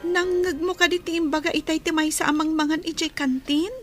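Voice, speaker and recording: A woman's voice: very high-pitched, steady tone, fairly quick, faint music behind. A woman playing an anxious younger sister in a village radio comedy drama, talking in an earnest, worried way.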